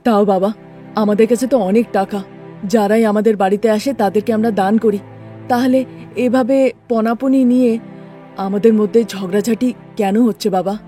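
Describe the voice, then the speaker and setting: A woman's voice: mid-range voice, fairly even intonation, moderate pace, mellow, soft background music, natural voice. A woman voicing an earnest young city son, entreating in a cartoon family story. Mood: questioning.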